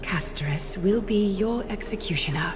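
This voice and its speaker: An actress playing a solemn, regal leader in a fantasy game, speaking in a measured, formal and commanding way. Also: female voice, medium pitch, noisy background, lightly acted. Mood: stern, judging. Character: solemn, regal woman leader, ritual guide and interrogator. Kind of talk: scripted fantasy game dialogue. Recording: long reverb, clear music behind.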